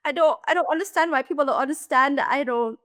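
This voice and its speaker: A woman hosting a podcast, first generation in the US with Jamaican and Guyanese roots, talking in an animated, emphatic way. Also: sits high, fast, no room sound, studio quality. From Jamaican and Guyanese heritage, first generation in the US. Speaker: podcast host. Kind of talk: conversational interview.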